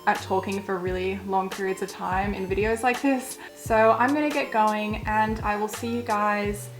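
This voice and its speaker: A young woman, chatting casually through a vlog in a croaky voice that is wearing thin, with plenty of filler words. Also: medium pitch, steady tone, moderate pace, faint music behind. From Australia. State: croaky, losing her voice. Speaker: vlogger. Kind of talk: casual walkthrough.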